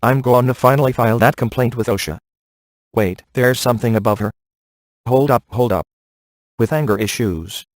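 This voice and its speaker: Game role: man as grumbling everyman ghost hunter, mid-pitched, streetwise, closely recorded with no room sound. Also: normal speed, pauses often, no room sound, natural voice. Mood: exasperated. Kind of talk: video game voice acting.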